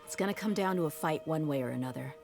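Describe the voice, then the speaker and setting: A tough female desert mercenary in a video game, speaking low and even. She is blunt and steady, and her threats come out cool rather than loud. The voice sits deep for a woman. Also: fast, creaky, over music. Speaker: game character.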